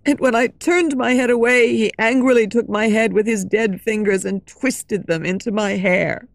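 A woman's voice: fairly high pitch, moderate pace, gravelly, dry recording. A woman reading as a frightened young female singer telling her lover a terrible story. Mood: recounting. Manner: earnest, fearful storytelling.

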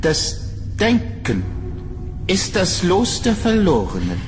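An actor playing an eerie, morbid man in a horror audio drama, halting and brooding as if speaking in riddles. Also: sits high, huge pitch swings, heavily acted. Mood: cryptic, brooding. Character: eerie, cryptic, morbid man lurking in a cellar. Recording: long hall reverb, loud music behind.

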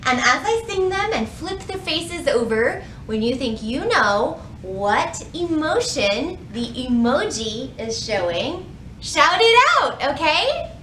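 A woman in her thirties presenting a children's storytime, with expressive, carefully phrased delivery meant for small children. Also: high-pitched, reverberant, a little hiss or hum, rough recording. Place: indoor library room.

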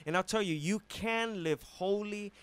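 A man's voice: high voice, unhurried, clean tone. A man co-hosting a radio show with preacherly banter, rhetorical questions and interjected amens. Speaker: radio co-host.

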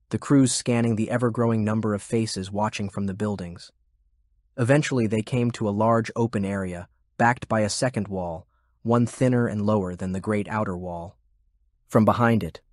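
A man's voice: lowish voice, darkish tone, no room sound, studio quality. A man reading scripted fiction as an audiobook narrator.

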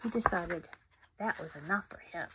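A woman in her fifties reading a picture book aloud at home into a phone camera, animated, with whispered asides. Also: on the low side, normal speed, background noise. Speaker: storybook reader. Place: home room indoors. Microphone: phone camera close up.

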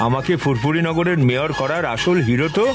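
Man voicing a high-pitched, effusive cartoon mayor. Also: fast, music in the background, lightly acted. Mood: grateful. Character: polite, emotional defeated town mayor, adult man. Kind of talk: dubbed cartoon comedy acting.

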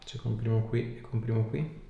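A man's voice: mid-range voice, steady tone, moderate pace, vocal fry, highs cut off. A man working as a chiropractor, giving brief instructions and asking short questions during a hands-on exam. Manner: brief, directive, repetitive phrasing. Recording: very echoey room, distant mic, faint noise.

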